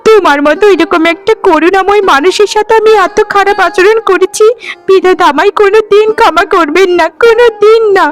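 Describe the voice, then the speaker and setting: An emotional village woman from a cartoon, acted by a woman, with a very high voice. She speaks in a pleading, melodramatic way. Music runs behind her. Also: fairly quick. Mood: distraught. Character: emotional, remorseful village women of one family. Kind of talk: Bengali cartoon voice acting.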